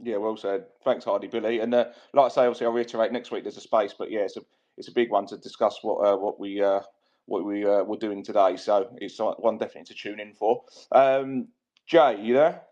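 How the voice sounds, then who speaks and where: A man's voice: fairly low pitch, fairly even intonation, fairly quick, a little mellow. A man hosting a casual online fan chat, talking with many fillers and restarts. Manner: hesitant, many fillers and repeats. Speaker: host. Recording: dull lo-fi sound.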